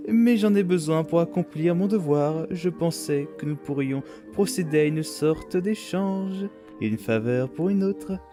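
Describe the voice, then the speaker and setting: A man's voice: on the high side, animated, unhurried. A man voicing a pompous, lustful aristocratic demon in a cartoon dub, florid and suggestive in his phrasing. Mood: suave insistence. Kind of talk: animated series dub acting. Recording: loud music behind the voice.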